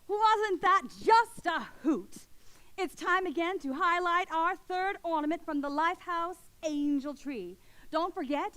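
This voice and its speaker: Woman as a high-pitched 1940s radio announcer. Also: a bit bright. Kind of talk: 1940s radio show promotion.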